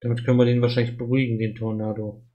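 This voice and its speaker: A man giving casual live commentary while playing an adventure game, thinking aloud in short, halting remarks. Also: medium pitch, level tone, mellow, dull audio.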